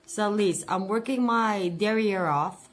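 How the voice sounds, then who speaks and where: A woman's voice: mid-range voice, a little slow. Rambling woman in her forties. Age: 40s. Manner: rambling, venting, repeats words for emphasis. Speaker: budget vlogger. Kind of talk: casual personal vlog.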